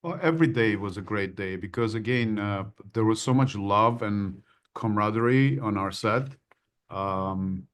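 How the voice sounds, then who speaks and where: A man with a low voice answers interview questions. He speaks in a measured, thoughtful way with a slightly formal tone. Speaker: actor, interview guest. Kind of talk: casual interview talk.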